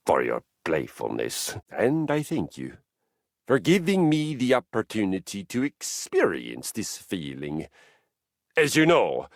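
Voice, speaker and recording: A larger-than-life Viking warrior for a video game, passionate and sentimental about violence. The delivery is theatrical and unhurried, leaping widely in pitch over a gravelly creak. Phrasing is archaic and grandiose, broken by dramatic pauses. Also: male voice, no echo, clean studio sound, heavily acted. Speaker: video game character voice actor.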